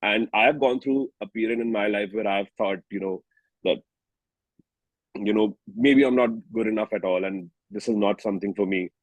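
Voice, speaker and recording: Low-voiced Indian man, slightly husky, halting. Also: no echo, rough recording. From New Delhi, India. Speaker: singer-songwriter being interviewed. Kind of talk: reflective conversational interview.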